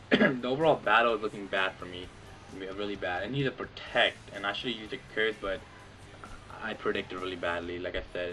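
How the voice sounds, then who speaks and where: Rambling young man over faint game music. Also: sharp tone. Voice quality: says he has a deep voice. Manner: rambling, filler-heavy, lots of "like" and "you know". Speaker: gamer commentating his own battle. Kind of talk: casual game commentary.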